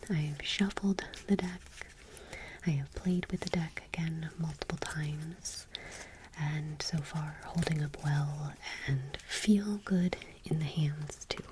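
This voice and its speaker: Soft, whispery woman doing ASMR, mellow and low. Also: slight background noise. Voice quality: soft, breathy near-whisper. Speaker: ASMR channel host. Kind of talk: soft-spoken ASMR, reading trading cards aloud.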